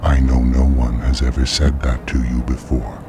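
Extremely deep, coaxing male voice. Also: flat delivery, noisy background. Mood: flattering. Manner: deliberate, intimate, urging. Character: deep hushed male inner voice, coaxing, commanding, manipulative. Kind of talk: audio drama acting.

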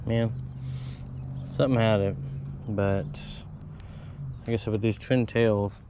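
A man's voice: fairly low pitch, steady tone, slow pace, background noise. A man chatting to viewers while fishing at a pond, calling each cast and bite as he goes. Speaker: fishing vlogger. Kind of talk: casual play-by-play while fishing. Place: outdoors by a pond. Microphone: head-mounted action camera. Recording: dull lo-fi outdoor camera audio.

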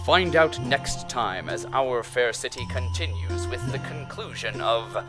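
A grandiose male announcer for a radio serial, played by an actor. He narrates theatrically and with portent at a middle pitch, with music clearly behind him. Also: animated, measured pace, close to an everyday voice. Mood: suspenseful.

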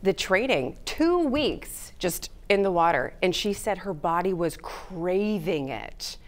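A woman's voice: lowish voice, very animated, slow pace. A woman in her thirties, a news anchor, chatting upbeat and emphatic with a co-anchor at a studio desk on a lapel mic. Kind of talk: casual anchor chat.

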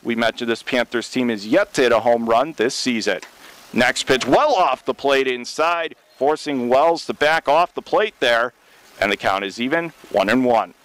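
Clear-voiced American baseball play-by-play man. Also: mid-range voice, moderate pace, a bit bright. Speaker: baseball radio play-by-play announcer. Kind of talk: live sports radio play-by-play commentary. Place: press box at a baseball stadium.

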